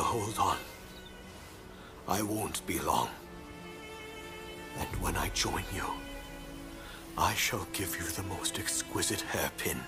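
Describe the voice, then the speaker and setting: A man playing an imperious, controlled lord in a video game, formal and measured. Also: low-pitched. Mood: tender, wistful. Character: stern, imperious, coolly controlled Japanese lord. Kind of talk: dramatic video game cutscene acting. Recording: loud music underneath.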